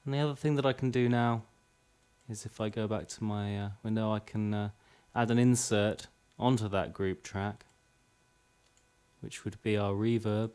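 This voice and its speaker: A man's voice: fairly low pitch, steady tone, moderate pace, darkish tone, clear, resonant tone. A man presenting an informal music production tutorial, explaining step by step in a slightly hesitant way. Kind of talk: informal software tutorial demonstration. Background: drum and music playback.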